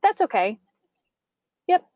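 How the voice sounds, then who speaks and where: A woman's voice: slow pace, darkish tone, no room sound, muffled recording. An American woman hosting a webinar, guiding a demonstration and prompting her guest with encouraging questions. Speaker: webinar host. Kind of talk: interview and guided software demonstration.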